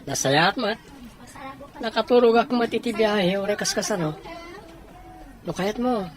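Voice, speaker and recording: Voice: medium pitch, unhurried, background noise, close to an everyday voice. A voice actor playing a humble adult worker in an Ilocano audio drama, speaking conversational dialogue. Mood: friendly, grateful. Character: humble adult city worker moving to the province for a job.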